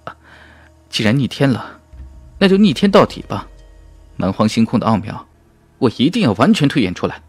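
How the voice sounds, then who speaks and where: A man voicing a defiant, determined young male cultivator hero in an audiobook, forceful and intense. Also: mid-range voice, sing-song, slightly rough, no room sound. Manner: forceful, intense, quick exclamations. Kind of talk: audiobook character acting.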